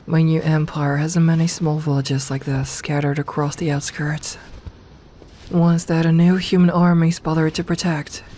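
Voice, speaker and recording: A woman's voice: low-pitched, level tone, mellow. Woman acting a regal, commanding serpent queen, ambient noise. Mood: calm, matter-of-fact. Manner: regal, formal, measured speech. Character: imperious monstrous serpent queen, commanding yet tender toward companion. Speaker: voice actor in audio roleplay. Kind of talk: ASMR roleplay acting.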